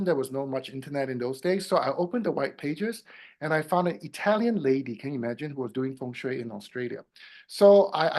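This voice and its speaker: A man in his fifties who grew up in Singapore, telling his story as an interview guest. His voice swings up in pitch and volume at each new turn, then sinks low and soft at the ends of sentences. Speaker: guest, feng shui consultant.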